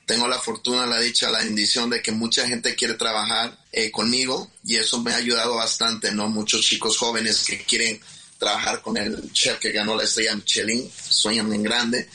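A man's voice: fairly low pitch, level tone, normal speed, bright, forceful tone, low-quality recording. A middle-aged Mexican chef and restaurant owner answering interview questions in a candid, rambling way with a Mexican Spanish accent, often tagging his sentences with questions.

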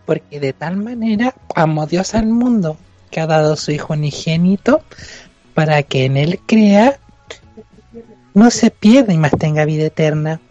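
A woman's voice: low-pitched, expressive, moderate pace, warm tone, no room sound, dull audio, faint music behind. A woman with a Chilean accent playing a sweet, devout young evangelical in an improvised comic radio play. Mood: reading scripture.

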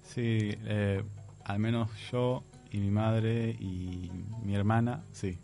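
Soft-spoken young man, low voice. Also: steady tone, takes it slowly, faint music behind. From Palestine. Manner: hesitant, laughs softly between answers. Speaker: guest. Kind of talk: radio interview answers.

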